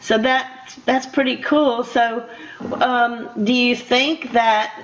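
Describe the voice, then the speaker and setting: An older woman interviewing a guest casually as a radio host, in a halting, rambling, encouraging way. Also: a little high, fairly even intonation, background noise. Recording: dull lo-fi radio interview audio.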